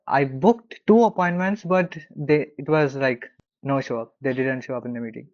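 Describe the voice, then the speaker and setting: A man with an Indian accent, a consulting client speaking haltingly with many fillers on a video call. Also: medium pitch, darkish tone, no echo, dull audio.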